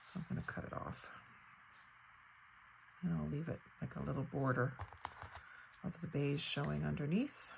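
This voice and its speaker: Low, crackly-voiced woman crafting. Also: creaky. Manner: thinks aloud while working, unhurried and chatty. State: slightly hoarse, fighting off a cold. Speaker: craft channel host. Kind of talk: casual crafting tutorial narration.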